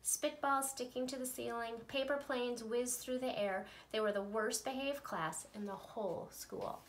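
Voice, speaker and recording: A woman, a teacher, reading a children's picture book aloud with playful, expressive delivery. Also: fairly high pitch, moderate pace, a little hiss or hum, rough recording. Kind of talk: storybook read-aloud.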